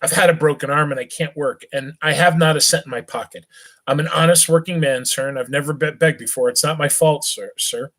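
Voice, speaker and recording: A man's voice: on the high side, a little fast, a bit bright. A man voicing a destitute working man pleading with a stranger for the price of a lodging. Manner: pleading, formulaic begging. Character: desperate poor working man begging for lodging.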